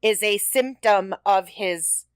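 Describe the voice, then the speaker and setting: A woman's voice: mid-range voice, takes it slowly, clean tone, dry recording. A woman co-hosting a podcast, talking in casual, conversational discussion. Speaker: podcast co-host. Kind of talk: casual chat, TV episode discussion.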